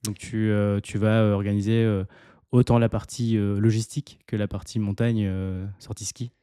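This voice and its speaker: A man's voice: lowish voice, little pitch movement, normal speed, warm tone, clear, resonant tone, no echo, clean studio sound. A man hosting a podcast interview, talking with many hesitations and restarts. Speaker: podcast host. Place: home near the mountains.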